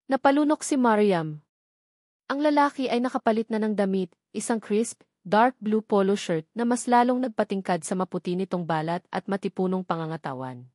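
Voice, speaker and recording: A woman's voice: medium pitch, normal speed, a little mellow, no echo, clean studio sound. A woman with a Filipino accent reading a scripted romantic drama as its storyteller. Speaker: narrator.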